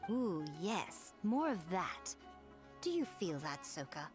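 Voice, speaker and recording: An actress playing a sly, elegant, teasing woman editor in a video game, with a haughty, playful and deliberate way of talking. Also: on the low side, very animated, soft background music. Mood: sly, intrigued. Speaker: light novel editor.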